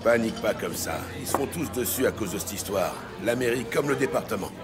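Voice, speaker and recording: Actor as hard, foul-mouthed cop, swinging pitch. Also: male voice, lively intonation, a little fast, natural voice. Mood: cynical. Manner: street slang, swearing. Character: hard, defiant cop, cynical and menacing when crossed. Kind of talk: French audio drama acting. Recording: loud music behind the voice.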